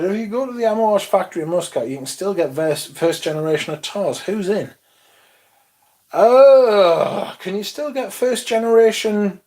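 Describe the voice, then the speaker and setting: A young man hosting a casual livestream, reading chat comments aloud and chatting in a loose, relaxed way with casual swearing. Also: high-pitched. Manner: laid-back, swears casually, mumbles asides, reads chat aloud. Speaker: livestream host.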